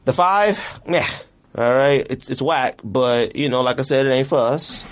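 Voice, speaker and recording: A man's voice: mid-range voice, normal speed. A man co-hosting a sneaker podcast, chatting casually and giving opinions in informal slang.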